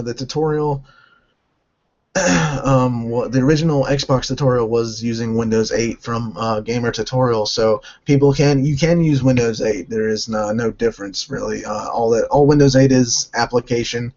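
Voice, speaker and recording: Hoarse guy from north carolina doing a q&a, keeps restarting sentences, muffled cheap mic. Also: medium pitch, steady tone, darkish tone. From North Carolina, United States. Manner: halting, restarts sentences often. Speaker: gaming channel host. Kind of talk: casual Q&A answering viewer questions.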